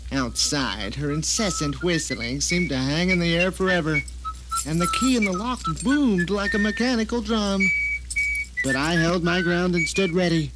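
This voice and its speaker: A man plays a bitter, vindictive captive telling his own story in an audio drama, in a notably high male voice. The delivery is melodramatic and sardonic, each phrase placed deliberately, with a faint rain-like noise behind it. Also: natural voice. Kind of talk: dramatic first-person audio drama monologue.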